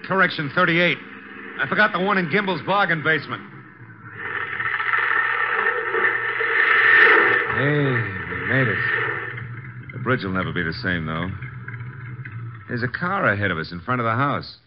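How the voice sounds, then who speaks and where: An American actor playing a breezy, wisecracking detective's assistant in a 1950s radio drama. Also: male voice, medium pitch, sing-song, moderate pace, long pauses, natural voice. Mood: excited, wisecracking. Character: wisecracking, easygoing male detective's legman. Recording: noisy, muffled vintage radio recording.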